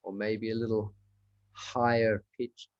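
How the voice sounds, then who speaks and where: Male meditation teacher, unhurried pace, short spaced phrases, measured instructional delivery. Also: on the low side, little pitch movement, a little mellow, highs cut off. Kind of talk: guided meditation.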